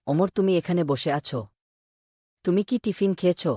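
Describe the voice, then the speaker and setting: Guy doing a kind cartoon school teacher, bit raspy, dry close sound, earnest. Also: high voice, moderate pace, warm tone, dry recording. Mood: concerned. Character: kindly male schoolteacher, concerned and easily moved. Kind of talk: cartoon voice acting.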